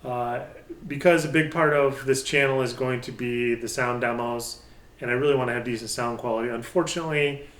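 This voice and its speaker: Male hobbyist reviewer, medium pitch, roomy echoey sound, casual and unscripted, halting as he thinks aloud. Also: steady tone, a bit bright. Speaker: hobbyist speaker reviewer and channel host. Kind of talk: casual unscripted product talk. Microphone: pair of budget stereo microphones.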